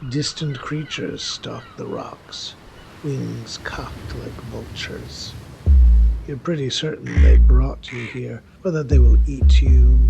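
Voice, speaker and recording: A man's voice: mid-range voice, gravelly. A man narrating scripted fiction in the second person, in a dull lo-fi recording.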